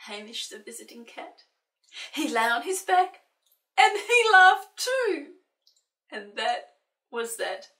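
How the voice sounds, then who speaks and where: A woman reading a children's picture book aloud in an expressive way, with playful, dramatic asides to young listeners. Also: high-pitched, takes it slowly, long pauses. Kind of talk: expressive children's storybook narration. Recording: roomy, slightly distant microphone.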